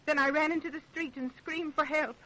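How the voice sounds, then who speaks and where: A woman's voice: sits high, fairly even intonation, a little fast, pushed and bright, poor audio. An actress playing a fussy, kindly, old-fashioned middle-aged American mother in an old-time radio drama. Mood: shaken, testifying.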